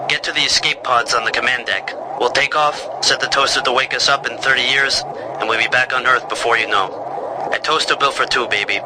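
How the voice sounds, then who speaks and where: An actor playing a devoted, plain-spoken survivor urging an escape, in a game audio log. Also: male voice, mid-range voice, steady tone, fairly quick, close to an everyday voice. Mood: urgent, tender. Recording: noisy shipboard audio log.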